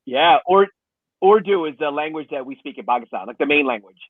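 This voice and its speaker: A man talks in a relaxed, chatty way over a video call. His voice sits fairly high and comes through dry and tinny, like a phone line. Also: a little fast, no room sound. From Hong Kong. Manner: relaxed, chatty, laughs easily. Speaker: guest. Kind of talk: casual video call chat.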